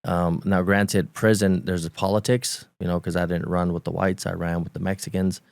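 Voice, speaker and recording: A Romanian-born man in his forties with a Romanian accent, English his second language, telling his story as a guest on a talk show, halting and repeating words as he recalls. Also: low-pitched, level tone, creaky, a little mellow, no echo. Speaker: podcast guest. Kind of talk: candid conversational storytelling.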